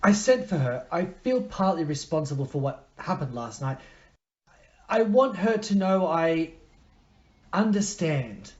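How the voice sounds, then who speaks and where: Casting: male actor as gentle recovering husband, varied intonation, muffled drama recording. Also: a little high, expressive, a little slow. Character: convalescing, forgiving young husband, earnest and subdued. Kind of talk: amateur audio play acting.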